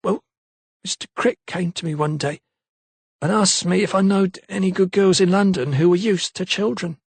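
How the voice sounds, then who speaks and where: A man's voice: sits high, moderate pace, slightly rough, no room sound, pitched above the performer's own voice. A timid young servant girl, voiced by a man, speaking in a raised, lilting voice with rustic, uneducated phrasing. She sounds hesitant and deferential. The recording is close and dry. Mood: timid, earnest. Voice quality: rustic nonstandard speech. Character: timid young female maid, working-class.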